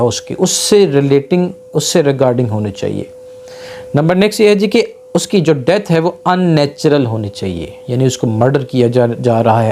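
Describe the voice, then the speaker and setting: Man, Pakistani accent, dark mellow voice, mid pitch, explanatory lecture delivery, repeats key terms, faint humming noise behind. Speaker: lawyer teaching law. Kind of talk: informal law lecture mixing Urdu with English legal terms.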